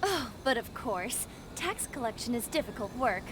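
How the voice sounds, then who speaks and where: An actress playing a polite, deferential shopkeeper in a video game, courteous and ingratiating. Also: female voice, on the high side, very animated, normal speed, background noise. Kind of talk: acted video game dialogue. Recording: game music behind the voice.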